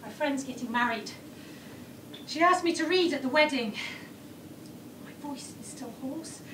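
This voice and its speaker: A woman performer telling her own story on stage in the present tense, often dropping to a low, hushed delivery. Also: measured pace. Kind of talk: first-person theatrical storytelling. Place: theatre stage. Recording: distant, echoey room with background noise.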